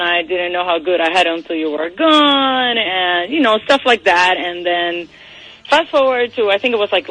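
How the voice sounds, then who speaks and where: A woman's voice: lowish voice, fairly bright tone, low-quality recording. Hesitant young woman calling a radio show. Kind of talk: casual confessional storytelling.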